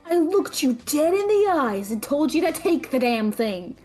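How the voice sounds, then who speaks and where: A woman playing a sharp-tongued, responsible female student mage in an audio drama, blunt and sardonic. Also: high-pitched, big pitch swings, a little slow, soft background music. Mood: exasperated.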